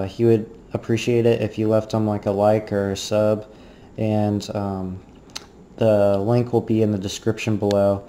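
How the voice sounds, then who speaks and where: A man's voice: fairly low pitch, dark tone. A teenage man, a video creator, talking casually to the camera at home to sign off to his viewers. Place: living room couch at home, indoors. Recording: faint background noise.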